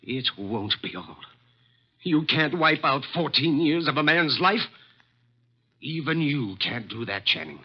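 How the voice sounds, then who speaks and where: An actor playing an agitated middle-aged office employee, halting and pleading, in 1940s radio style. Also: male voice, on the high side, lively intonation, husky. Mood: desperate anger. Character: desperate, pleading male office employee of long service. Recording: muffled, noisy vintage radio recording.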